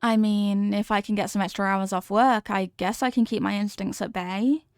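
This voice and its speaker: A woman plays a clingy yandere wife in an ASMR audio drama. She is intense and possessive, coaxing her beloved with melodramatic devotion. Her voice sits at an ordinary female pitch, recorded very dry and intimate. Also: fairly even intonation, normal speed, no room sound, natural voice. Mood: touched, delighted. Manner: coaxing, melodramatic, lingering on words.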